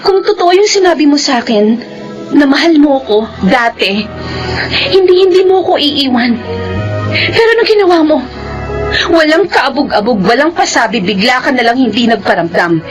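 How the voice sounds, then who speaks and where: A woman's voice: high-pitched, lively intonation, normal speed. An actress with a Filipino accent playing a protective single mother in a melodrama, tender with her child and wounded by her ex. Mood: hurt, accusing. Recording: music behind the voice.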